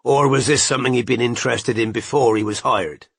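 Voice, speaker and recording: A gruff, clipped investigator , played by a male narrator, with a low husky voice. He asks short, flat questions, recorded close and dry. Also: brisk, dry recording. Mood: questioning. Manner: terse, probing questions. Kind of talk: audiobook character voice.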